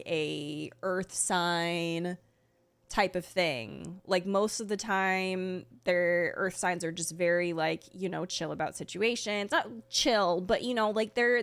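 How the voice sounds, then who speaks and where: A young woman giving casual reaction commentary as a game streamer, chatty and halting, peppering her talk with "like". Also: on the low side. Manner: chatty, halting, filler-heavy with "like".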